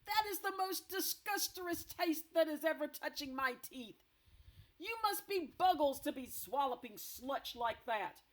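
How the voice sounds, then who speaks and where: A woman reading aloud and voicing a huge, greedy male giant who bullies, booming loudly in a gruff voice. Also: expressive, unhurried. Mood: furious.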